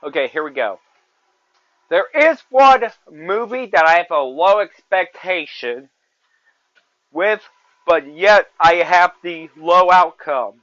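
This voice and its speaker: Young man in his twenties, podcast host; high, bright voice; rambles and repeats himself; dry, muffled lo-fi recording. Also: expressive, slow, no echo. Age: 20s. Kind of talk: solo movie review podcast.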